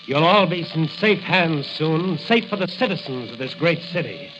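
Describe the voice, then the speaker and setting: An actor playing a righteous crime-fighting hero in a declamatory, commanding 1940s radio style. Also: male voice, fairly high pitch, animated, moderate pace, music in the background, natural voice. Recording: hissy, dull 1940 radio recording.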